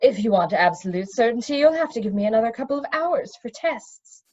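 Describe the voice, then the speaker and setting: A woman's voice: on the high side, expressive, fairly quick, darkish tone, highs cut off. A woman voicing a fussy, learned male magician who speaks in precise, pedantic phrases in a storybook reading. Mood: pedantic explaining.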